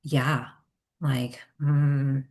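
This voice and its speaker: A woman with a Japanese accent, a therapist whose first language is Japanese, talking hesitantly with many fillers and soft laughs in a casual interview. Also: rumbling low, little pitch movement, very slow. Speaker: therapist guest.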